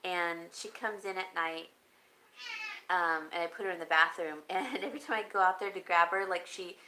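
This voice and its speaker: A woman hosting a casual live chat, talking loosely and warmly about whatever comes up. Her voice is bright but turning raspy, and coughs break in often. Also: lowish voice, normal speed, a little hiss or hum, rough recording. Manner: rambling, chatty, reads and answers viewer comments. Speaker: home decor vlogger.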